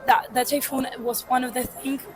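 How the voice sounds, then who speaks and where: A woman's voice: sits high, flat delivery, normal speed, soft background music. A woman from Ukraine, a scout leader, speaking frankly and at length on the street. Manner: frank, flowing complaints. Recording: busy crowd behind.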